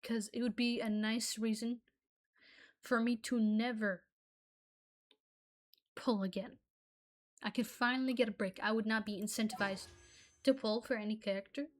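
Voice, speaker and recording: A woman's voice: fairly high pitch, fairly even intonation, normal speed, long pauses. A woman whose first language is Arabic, ranting casually to her viewers in a rambling, exasperated way that keeps breaking off mid-sentence. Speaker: gaming vlogger. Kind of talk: casual rant to camera.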